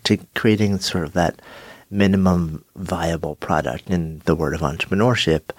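A middle-aged man hosting his own podcast, talking reflectively with a slightly nasal voice from a cold and pausing often. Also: sits low, no room sound. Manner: thoughtful, measured, with frequent pauses and fillers. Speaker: podcast host. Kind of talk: solo reflective podcast monologue.

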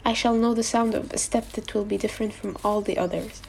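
A woman voicing a gentle, wistful male fox from a storybook, speaking in an earnest, measured way. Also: mid-range voice, steady tone, gravelly, a little hiss or hum, natural voice, poor audio. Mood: wistful, longing. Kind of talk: storybook character voice.

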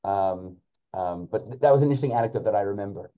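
A man in his forties reminiscing as an interview guest in a halting, searching manner, sprinkling in Hebrew and Yiddish religious terms. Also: lowish voice, mellow. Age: 40s. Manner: halting, searching for words, backtracking. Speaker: podcast guest, school principal and rabbi.

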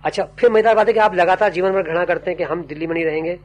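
An older man, a writer and poet, giving slow, musing interview answers that trail off into fillers. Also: high-pitched. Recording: dull old tape with faint hum.

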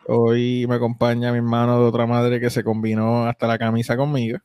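A man's voice: medium pitch, monotone, a little fast, darkish tone, clear, resonant tone, dry recording, studio quality. A young man hosting a casual podcast, rambling with lots of fillers and restarts. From United States. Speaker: podcast host.

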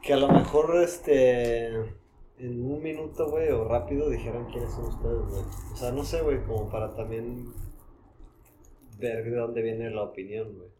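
A man's voice: medium pitch, fairly even intonation, moderate pace, noisy background. A man with a Mexican accent hosting a casual podcast, laid-back and peppering everything with slang fillers. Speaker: podcast host. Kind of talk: casual conversation.

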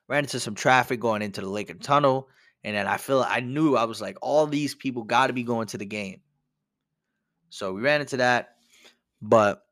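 A man's voice: medium pitch, fairly bright tone, clear, resonant tone, no echo, studio quality. A young man hosting a casual solo podcast, telling a story in a rambling, conversational way with lots of "you know". He sounds a bit congested from a cold. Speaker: podcast host.

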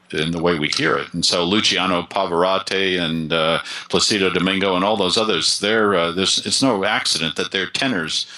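A man's voice: sits low, pushed and bright, rough recording. A man being interviewed as a voice coach and author, explaining with confidence and often restarting his phrases.

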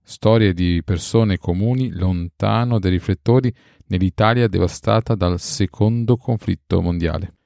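A man's voice: sits low, normal speed, creaky, no echo, studio quality. A man presenting a podcast with scripted announcements. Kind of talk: scripted intro and outro announcing. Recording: loud music bed under voice. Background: loud instrumental music.

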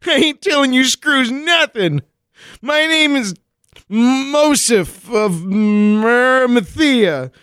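A man's voice: very high voice, animated, takes it slowly, a bit bright, clear, resonant tone, dry recording, clean studio sound, voice pushed up, exaggerated voice. A man playing a paranoid, defensive slacker traveler with a stoner drawl in a comic radio play. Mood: defensive, indignant. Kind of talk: comic 1940s-style radio play acting.

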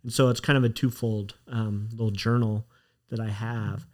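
A man hosting a podcast, talking in a relaxed, encouraging way. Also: lowish voice, normal speed. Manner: relaxed, encouraging, short affirmations. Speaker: podcast host. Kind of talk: casual interview chat.

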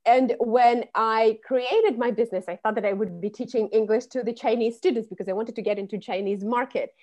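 A woman's voice: fairly high pitch, brisk, darkish tone, lo-fi sound. A woman, a public speaking coach who explains things fluently in an interview. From Russia. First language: Russian. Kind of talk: podcast interview.

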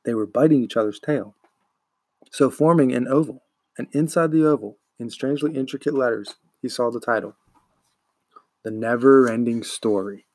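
A man narrates a children's novel as a reader. His voice is medium in pitch with a gravelly fry, recorded dry and close. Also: normal speed, darkish tone, no echo. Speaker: podcast host, audiobook reader.

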